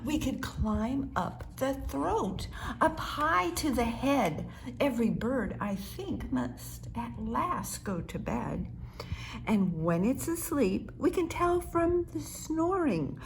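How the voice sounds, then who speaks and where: A woman's voice: fairly high pitch, very animated, slow pace, creaky, a little hiss or hum, heavily acted, low-quality recording. A woman voicing an eager, patient female mosquito in a children's storybook, earnestly explaining her ideas. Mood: eager, hopeful.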